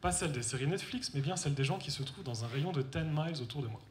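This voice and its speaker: A man's voice: on the high side, animated, quick pace, a little mellow. A young man from France telling a comic personal story on stage, wry and self-mocking, talking straight to the audience. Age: young adult. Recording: echoey hall with faint noise.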